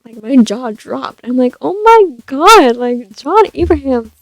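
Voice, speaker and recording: A young woman with a soft voice chatting casually about a film, rambling with lots of fillers and restarts. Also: high voice, very animated, unhurried, creaky, no room sound. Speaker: vlogger reviewing a film. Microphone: stand mic.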